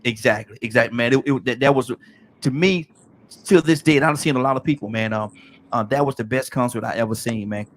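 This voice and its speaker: A man's voice: mid-range voice, a little fast, slight background noise. Laid-back man hosting a call-in show. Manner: laid-back, stammering restarts, frequent "man". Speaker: radio show host. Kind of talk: casual call-in show chat.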